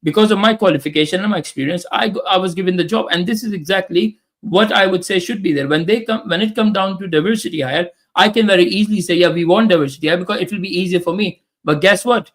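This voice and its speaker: A man's voice: high voice, fast talker, hardly any pauses. A man from Pakistan, whose first language is Urdu, speaking in emphatic, opinionated casual talk and often repeating phrases. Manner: emphatic, repeats phrases, acts out quoted voices.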